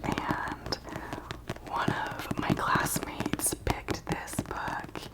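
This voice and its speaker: Slow whispering woman, breathy ASMR. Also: poor audio. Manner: slow, gentle, deliberate with long pauses. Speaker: ASMR channel host. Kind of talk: soft whispered ASMR, chatting and reading aloud.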